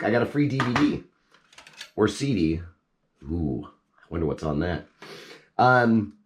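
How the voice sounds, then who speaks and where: A man with a hoarse voice from a cold, chatting casually and rambling as he takes apart a device for his channel. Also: mid-range voice, darkish tone. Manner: rambling, with frequent restarts and asides. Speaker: YouTube host. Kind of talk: casual chat while tinkering.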